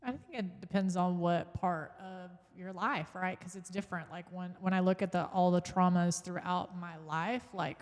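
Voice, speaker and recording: A woman's voice: fairly low pitch, steady tone, moderate pace, few pauses, darkish tone, big-room sound. A woman co-hosting a podcast, chatting candidly and reflecting on her past. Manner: candid, reflective, laughs often. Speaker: podcast co-host. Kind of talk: casual chat.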